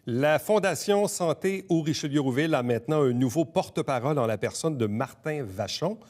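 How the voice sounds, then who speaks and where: A man with a Quebec French accent hosting a local interview show, who asks brisk, teasing questions. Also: on the high side, expressive, darkish tone, a little hiss or hum. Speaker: host.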